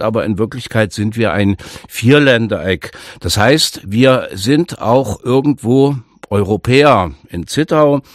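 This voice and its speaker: A man hosting a radio interview, asking his guest questions in a calm, deliberate and slightly formal way. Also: on the low side, no echo, clean studio sound. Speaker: radio host. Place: radio studio.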